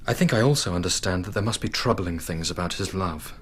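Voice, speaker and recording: An actor playing an earnest, bookish young man in a radio drama, lovestruck and self-deprecating, speaking politely and wistfully in measured phrases. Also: low-pitched, a little hiss or hum, close to an everyday voice. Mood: sympathetic, pointed. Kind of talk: literary radio drama acting.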